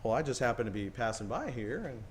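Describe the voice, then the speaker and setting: A man's voice: mid-range voice, sing-song, fast talker, slight background noise, natural voice. An actor playing a restless, stubborn young small-town man, stammering and bursting out in a 1940s-style radio play. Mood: awkward, defensive. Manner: stammering, hesitant, then bursting out.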